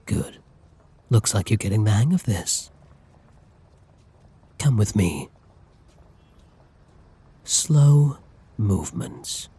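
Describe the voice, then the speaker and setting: Man playing a slow, husky, taunting masked villain. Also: fairly low pitch, expressive, dark tone, exaggerated voice. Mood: menacing, taunting. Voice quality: breathy, husky, often near a whisper. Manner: taunting, commanding, condescending. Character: flirty, menacing male masked villain holding a hostage. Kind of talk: intimate ASMR roleplay audio drama.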